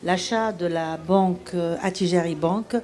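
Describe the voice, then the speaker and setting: Lower-voiced woman HR leader, measured delivery. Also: dark tone. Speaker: HR association president. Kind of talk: spontaneous testimony.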